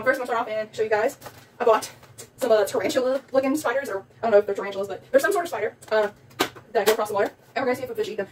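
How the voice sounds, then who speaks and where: A young woman with a South Louisiana accent chatting casually through a haul video at home, rambling with lots of ums. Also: on the high side. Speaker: vlogger. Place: indoors at home, a small room with a table. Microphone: phone camera set back on the table. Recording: distant phone mic, faint room noise.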